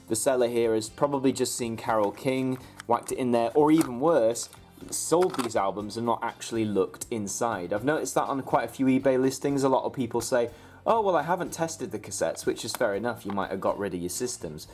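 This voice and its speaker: A man's voice: mid-range voice, darkish tone. A British man presenting a casual vlog guide to camera in a chatty, informal, demonstrative way.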